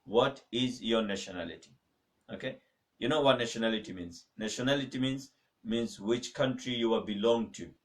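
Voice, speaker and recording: A man's voice: mid-range voice, distant mic. A man teaching a beginner Arabic lesson in a mosque, patiently repeating each phrase and checking with "okay?". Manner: patient, repeats phrases slowly with many okays. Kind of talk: live-streamed beginner language lesson. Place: mosque classroom.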